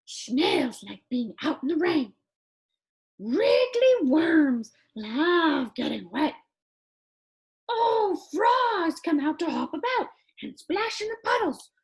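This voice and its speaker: Lady doing a kiddie puppet reading a picture book, eager and wide-eyed, really animated pitch, creaky bits. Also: takes it slowly, exaggerated voice. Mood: animated, wondering. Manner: exaggerated, wondering, talks directly to children. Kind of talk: animated children's storybook read-aloud.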